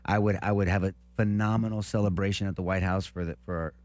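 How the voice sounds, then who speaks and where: A man with a very low voice co-hosts a political talk show. He pushes his points hard and often repeats phrases as he builds an argument. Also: fairly even intonation, fast, darkish tone. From Lebanese heritage. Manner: emphatic, repeats himself when giving advice. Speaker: co-host.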